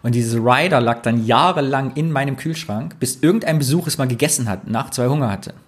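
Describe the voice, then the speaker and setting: A man's voice: mid-range voice, brisk, husky. A man co-hosting a casual podcast, reading listener comments aloud and telling anecdotes in a chatty way. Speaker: podcast co-host.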